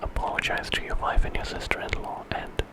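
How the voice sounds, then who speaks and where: A man's voice: brisk, lightly acted. Man whispering as a reluctant, grumbling villager. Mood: wary. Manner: hesitant, grumbling. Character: reluctant, wary village man, grudging and evasive. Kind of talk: whispered ASMR storytelling.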